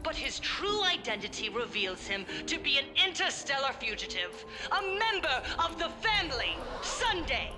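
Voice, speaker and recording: Scheming smooth broadcaster. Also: female voice, high voice, very animated, moderate pace, few pauses, background noise. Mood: persuasive. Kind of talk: voiced game dialogue. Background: game music.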